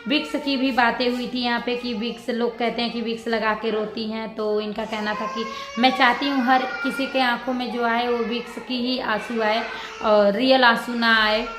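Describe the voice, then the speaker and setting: A woman giving casual reaction commentary to her viewers, rambling and halting as she circles back over her points. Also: on the high side, fairly even intonation. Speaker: vlogger. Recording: roomy echo with background noise.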